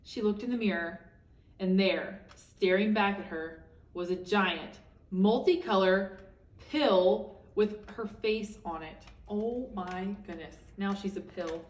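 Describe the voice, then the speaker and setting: A woman reading a children's picture book aloud as a teacher, expressively, for young listeners. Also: medium pitch. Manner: expressive, pausing to ask listeners questions. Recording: echoey room, distant microphone.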